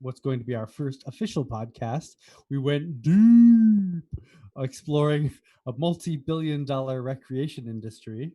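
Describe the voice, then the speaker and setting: This is an American man with a soft, mellow tone that stays relaxed and unforced. He chats casually as a podcast co-host, with a wry streak and a habit of teasing. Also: mid-range voice, normal speed. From United States. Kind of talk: casual chat.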